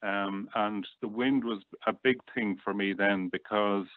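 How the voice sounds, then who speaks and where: A man's voice: lowish voice, steady tone, measured pace, no echo. A middle-aged Irish man chatting casually as an interview guest, reminiscing over a call. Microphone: online call or phone line. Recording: muffled phone-like, dry online call.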